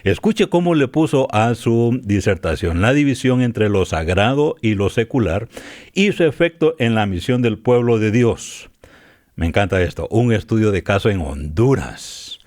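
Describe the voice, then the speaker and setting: A man hosting a podcast interview in a friendly, conversational manner with halting restarts. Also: on the low side, big pitch swings, a little mellow. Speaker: podcast host.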